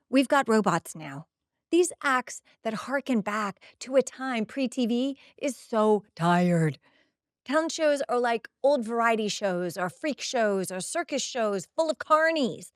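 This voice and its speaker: A woman's voice: high-pitched, no room sound, studio quality. A woman playing a vain, snobby, scathing teenage mean girl, haughty and dramatic in a comic monologue. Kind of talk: in-character comic recap monologue.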